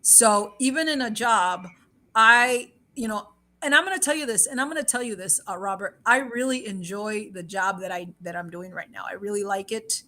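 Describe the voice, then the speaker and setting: A woman's voice: medium pitch, moderate pace, pushed and bright. A woman hosting a livestream, chatting casually, rambling and repeating herself, switching between English and Spanish, laughing often. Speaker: livestream host. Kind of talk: casual chat.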